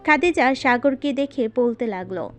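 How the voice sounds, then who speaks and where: A woman's voice: on the high side, lively intonation, moderate pace. A woman narrating a scripted story as its narrator. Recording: voice over background music.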